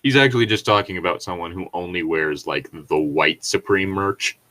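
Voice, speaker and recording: Low-pitched man in rambling casual commentary. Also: normal speed, sharp tone. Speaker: podcast co-host. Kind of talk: casual reading with joking commentary.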